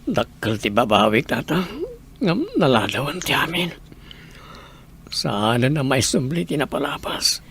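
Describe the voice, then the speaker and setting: A man's voice: medium pitch, sing-song, measured pace, creaky, long pauses, fairly bright tone, a little hiss or hum, full character voice. An Ilocano man playing a remorseful, resigned grown son in an audio drama.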